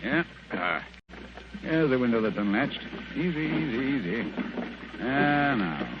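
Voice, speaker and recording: Shrewd, commanding sleuth, British, crisp and very animated, old radio drama with slight hiss. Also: male voice, medium pitch, a little slow. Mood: muttering, straining. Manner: crisp, theatrical, authoritative. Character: brisk, commanding, shrewd gentleman detective. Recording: muffled, hissy vintage radio recording.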